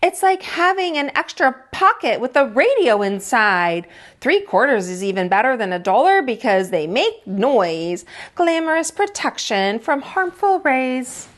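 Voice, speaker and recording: A woman voicing an excitable, dramatic little schoolgirl mouse in a picture book, with a theatrical and emphatic delivery. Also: high-pitched, measured pace. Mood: showing off.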